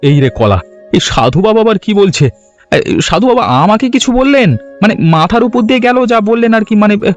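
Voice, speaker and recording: Cartoon village husband, played by a man: gullible, flustered, very animated delivery that swoops about, music underneath. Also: high-pitched, fairly quick. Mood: flustered, nervous. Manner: stammering, flustered, repeating filler words. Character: excitable, gullible village husband dreaming of riches. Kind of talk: Bengali cartoon story voice acting.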